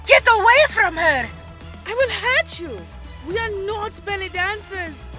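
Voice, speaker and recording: Lady doing a bold protective big sister in an audio story, high n urgent, really sharp and forceful. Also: animated, a little slow, soft background music, full character voice. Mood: fierce, threatening. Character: Layla, bold protective older sister, young woman.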